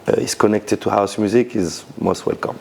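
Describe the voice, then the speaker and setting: Man, low voice, gravelly, rambling restarts, casual interview. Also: normal speed, mellow. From Senegal, raised in France. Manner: loose, searching phrasing with many restarts. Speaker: dancer, DJ and event founder.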